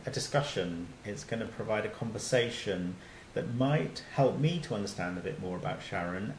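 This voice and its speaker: A man with a lowish voice delivers a recorded medical lecture over slides. He talks in a relaxed, conversational way, often pausing on fillers and restarting phrases. The sound is roomy, with faint noise behind. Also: vocal fry. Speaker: doctor giving a lecture.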